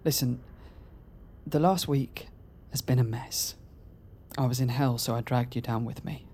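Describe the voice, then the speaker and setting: A man's voice: medium pitch, fairly even intonation, a little slow, darkish tone, a little hiss or hum, natural voice. An actor playing an anxious, lovesick young man in an audio drama, with a jittery, earnest and hesitant delivery. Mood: earnest, pleading.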